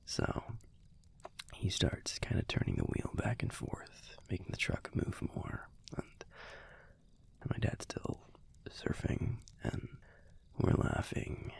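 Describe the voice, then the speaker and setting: A man playing a gruff but fond young werewolf boyfriend in an intimate audio roleplay, telling a story in a hushed, halting, husky and gravelly voice. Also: sits low, wildly swooping pitch, in a whisper, full character voice. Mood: wistful, amused. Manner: hushed, halting, conversational delivery with pauses.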